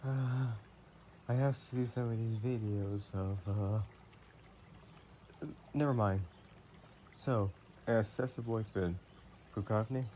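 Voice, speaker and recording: A slow-talking, mellow vampire boyfriend, acted by a man, affectionate and playful. He chats gently and teasingly in an intimate roleplay audio, with clear ambient noise behind him. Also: on the low side, steady tone. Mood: teasing, reluctant.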